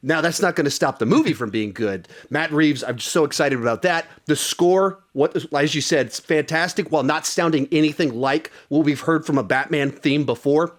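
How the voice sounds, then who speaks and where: A man's voice: on the high side, normal speed, hardly any pauses. A man hosting a podcast in a casual, conversational style. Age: adult with young child. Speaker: podcast host.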